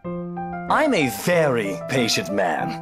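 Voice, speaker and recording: An actor playing an arrogant, condescending older mansion owner in a visual novel game, with a mocking manner. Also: male voice, medium pitch, huge pitch swings, slow pace. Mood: mocking, amused. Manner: sneering, condescending. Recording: music behind the voice.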